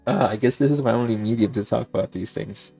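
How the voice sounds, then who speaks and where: A man's voice: mid-range voice, creaky, warm tone, slightly rough, faint music behind. A young man from Indonesia, a native Indonesian speaker, musing aloud in a rambling, reflective monologue while playing a game, often stopping and restarting his sentences. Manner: thoughtful, halting, with many restarts and fillers. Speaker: gaming vlogger.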